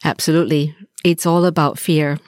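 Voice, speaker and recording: Older woman, low and thoughtful. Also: level tone, darkish tone, dry recording, studio quality. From Malaysia. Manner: measured, thoughtful, pauses with fillers. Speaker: podcast co-host. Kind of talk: reflective podcast conversation.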